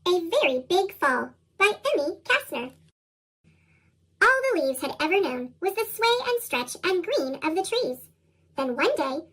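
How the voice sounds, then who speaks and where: An American woman reading a children's storybook expressively, her voice processed into a chipmunk effect. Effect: sped-up chipmunk voice, pitched very high. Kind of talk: expressive scripted read-aloud narration for young children.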